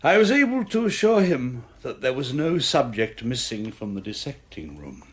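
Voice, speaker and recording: A man's voice: medium pitch, sing-song, normal speed, close to an everyday voice. Radio drama surgeon male actor: British, formal, emphatic, self-assured, with widely swinging intonation. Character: authoritative male surgeon and medical witness, precise, self-assured.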